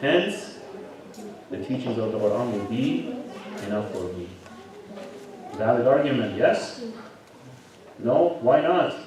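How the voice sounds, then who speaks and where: A man's voice: medium pitch, animated, slow pace, pauses often, darkish tone. Man preaching in a very echoey hall. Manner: conversational, questioning, frequent rhetorical questions and "right?" tags. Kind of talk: interactive sermon lecture to a congregation. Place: large echoing religious hall. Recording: very echoey hall, distant, audible congregation.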